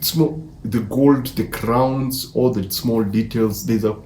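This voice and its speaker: A man's voice: medium pitch, fairly even intonation, slow pace, a little mellow. A man giving a casual movie review in an enthusiastic, emphatic way, often repeating words mid-sentence. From Africa. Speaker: film reviewer. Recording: echoey room, faint background noise.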